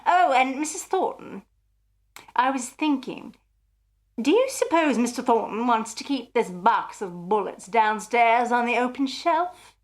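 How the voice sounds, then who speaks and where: A helpful radio-drama housemaid, played by a woman, with a somewhat high voice. She is polite and deferential, eager to please her employer. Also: expressive, unhurried. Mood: helpful, concerned. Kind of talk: radio thriller acting.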